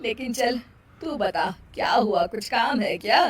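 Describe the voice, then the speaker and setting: Woman acting weary, grumbling older mother-in-law, fairly high voice. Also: lively intonation, a little slow. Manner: complaining, sardonic, plain-spoken. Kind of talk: cartoon moral story acting.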